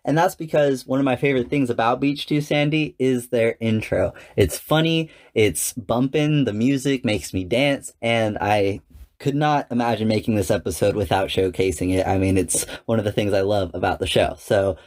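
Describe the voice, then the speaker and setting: A man's voice: mid-range voice, moderate pace, darkish tone. A man hosting a solo podcast, talking conversationally in an earnest, enthusiastic manner. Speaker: podcast host.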